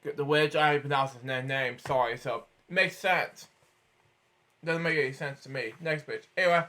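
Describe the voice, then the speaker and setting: A man's voice: mid-range voice, moderate pace, pushed and bright. A man reading a children's storybook aloud, stumbling over words and breaking off for rambling asides of his own. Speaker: storybook reader.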